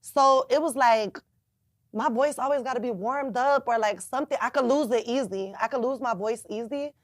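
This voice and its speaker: Twenties woman, raspy rapper-singer, laid-back and blunt, dry close mic. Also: fairly high pitch, moderate pace, dry recording. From Chicago, US. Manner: laid-back, frank, swears freely. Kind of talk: casual interview.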